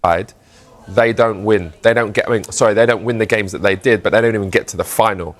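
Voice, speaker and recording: A man giving measured football punditry on a broadcast clip, correcting himself as he goes. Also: lowish voice, fairly even intonation.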